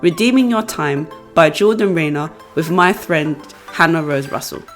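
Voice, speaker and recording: A woman's voice: low-pitched, lively intonation, normal speed, soft background music. A woman hosting a podcast about books, chatting casually and laughing often. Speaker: podcast host. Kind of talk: casual book chat.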